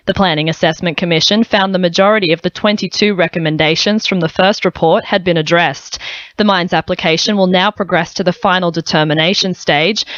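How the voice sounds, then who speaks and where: A woman's voice: on the low side, dry recording, lo-fi sound. A woman with an Australian accent reading scripted news as a radio newsreader.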